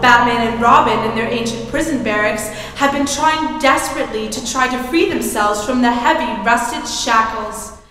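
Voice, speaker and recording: A woman's voice: fairly high pitch, moderate pace, bright, forceful tone, faint music behind. A woman playing the dramatic narrator of an old-time radio adventure serial, performed live on stage. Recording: very echoey hall.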